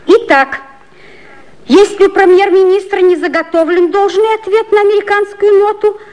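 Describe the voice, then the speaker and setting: A woman playing a lively young secretary in a 1950s radio play, with declamatory delivery. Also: very high-pitched, normal speed. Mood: declaiming. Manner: crisp declamatory reading aloud of newspapers. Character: lively young female secretary to the prime minister. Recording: old 1950s radio recording.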